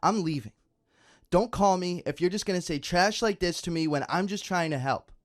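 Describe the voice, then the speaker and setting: A man's voice: high voice, normal speed, close to an everyday voice. A young man acting in a naturalistic radio drama as a worried, exasperated friend, urgent and earnest. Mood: exasperated, pleading.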